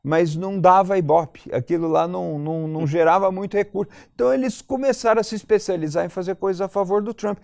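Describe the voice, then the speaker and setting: A man's voice: sits high, quick pace, creaky, few pauses, a little mellow, no room sound, clean studio sound. A Brazilian man giving analytical commentary as a guest in a panel debate, articulate and explanatory, repeating points for emphasis.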